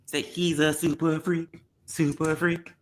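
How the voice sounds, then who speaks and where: A man on a casual panel who throws in loud exclamations and mocking remarks. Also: fairly high pitch, little pitch movement, measured pace.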